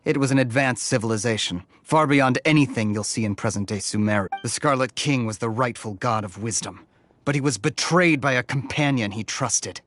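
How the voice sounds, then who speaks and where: A man's voice: medium pitch, a little fast, fairly bright tone, natural voice. A man voicing a zealous, scornful desert mercenary in an anime game, sneering and preachy, with music behind. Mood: devout, bitter. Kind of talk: English anime game voice acting.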